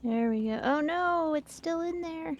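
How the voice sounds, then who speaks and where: A woman's voice: very high-pitched, measured pace, no room sound, lo-fi sound. A woman chatting casually as she plays a video game as co-host of a livestream. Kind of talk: casual chat while playing a video game.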